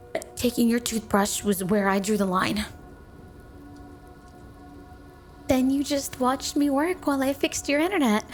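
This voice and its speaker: Yandere snow woman, female performer: high, sweet, adoring, possessive; close ASMR roleplay over music. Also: natural voice. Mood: playful, confessional.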